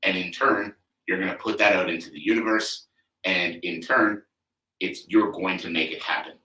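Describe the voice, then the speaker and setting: A man's voice: low voice, normal speed, bright, forceful tone. A man in his thirties, a coach hosting his own podcast, talking loosely and conversationally with lots of "you know" and "like" and the odd swear word. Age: 30s. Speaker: athlete coach, podcast host. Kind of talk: casual solo podcast monologue. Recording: echoey room, mic at a distance.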